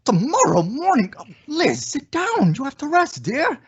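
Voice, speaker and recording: A man's voice: very high voice, huge pitch swings, unhurried, muffled recording, heavy background noise, full character voice. A man playing a flustered, well-meaning young husband in an old radio sitcom, hesitant and given to exclaiming. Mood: excited. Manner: hesitant, exclaiming comic delivery. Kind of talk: 1950s radio sitcom acting.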